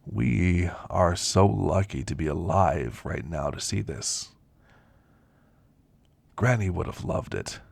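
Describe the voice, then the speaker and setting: Man voicing elderly male werewolf, husky and worn, close dry sound, reflective, soft-spoken, ASMR roleplay. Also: sits low, lively intonation, slow pace, long pauses, no echo, heavily acted. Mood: earnest, hopeful. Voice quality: gravelly, husky.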